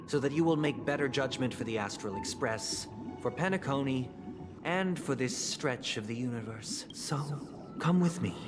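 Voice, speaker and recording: A man playing a composed, solemn idealist leader in a video game, speaking in a measured, formal, oratorical way. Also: mid-range voice, natural voice. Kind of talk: dramatic video game voice acting. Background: background music.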